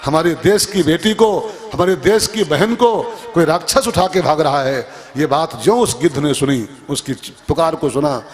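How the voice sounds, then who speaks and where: High-pitched male preacher. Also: moderate pace, hardly any pauses. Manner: emphatic, repeats phrases, asks rhetorical questions. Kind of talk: musical scripture discourse with moral teaching.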